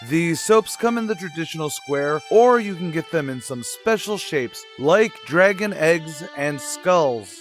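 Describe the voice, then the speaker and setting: A man's voice: a little high, expressive, a little slow, few pauses, soft background music. A man hosting a podcast, reading a scripted sponsor message. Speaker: podcast host.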